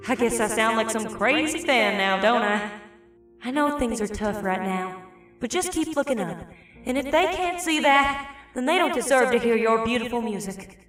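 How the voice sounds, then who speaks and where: A woman playing a kind, supportive pony friend, reading her letter warmly and encouragingly in a fan audio story. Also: high voice. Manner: warm, encouraging. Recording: very echoey voice, slightly distant.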